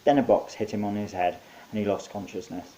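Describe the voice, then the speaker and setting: A man's voice: lowish voice, steady tone, fairly quick, mellow, rough recording. A man narrating his own short story in a wry, conversational storytelling manner. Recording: faint background hiss.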